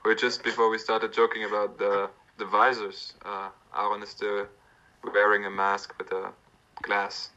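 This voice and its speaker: Man, bright forceful tone, muffled video-call sound, joking. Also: fairly low pitch, monotone. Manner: friendly, joking, slightly halting. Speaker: guest. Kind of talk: casual chat.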